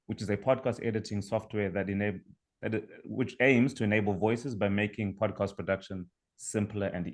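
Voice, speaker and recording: Low-voiced zimbabwean guy pitching his startup on a video call, measured, bit of restarting. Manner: measured, explanatory, with fillers and restarts. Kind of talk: online pitch presentation.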